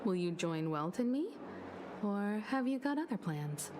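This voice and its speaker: Poised, gracious crew leader. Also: female voice, mid-range voice, very animated, unhurried, background noise. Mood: assured, gracious. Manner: elegant, formal, assured. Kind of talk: English video game voice acting. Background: game music.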